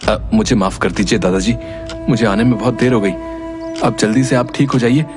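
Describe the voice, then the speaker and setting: A man's voice: fairly low pitch, fairly even intonation, moderate pace, over music, lightly acted. A man voicing an earnest, curious young male reporter in a cartoon story, often thinking aloud. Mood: apologetic.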